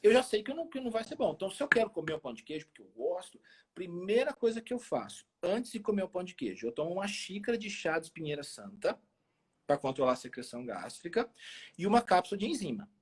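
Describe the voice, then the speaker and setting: Man of forty, rural Minas Gerais accent, very varied intonation, husky, colloquial, casual storytelling. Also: high-pitched, fast. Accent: rural Minas Gerais Brazilian. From small town in Minas Gerais, Brazil. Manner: animated, colloquial, repeats phrases for emphasis. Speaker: health expert guest on a live chat. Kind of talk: casual chat with personal stories. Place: remote social media live video call.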